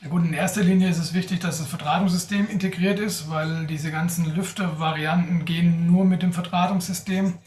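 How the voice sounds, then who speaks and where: A man's voice: high-pitched, steady tone, fairly quick, hardly any pauses, darkish tone, recorded from a distance. A young man answering audience questions on a live video call, thoughtful, with many hesitations. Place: indoors in front of a sponsor backdrop. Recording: roomy, off-mic sound.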